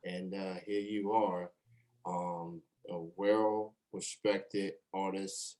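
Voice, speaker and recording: Low-voiced man hosting an interview. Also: little pitch movement, drawn-out words, mellow. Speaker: show host interviewer. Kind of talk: casual online interview.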